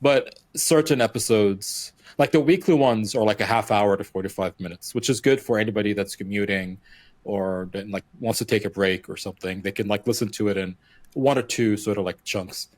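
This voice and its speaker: Low-voiced man co-hosting a casual gaming podcast. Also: fairly quick, no room sound. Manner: rambling, filler-heavy, restarts often. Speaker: podcast co-host. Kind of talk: casual chat.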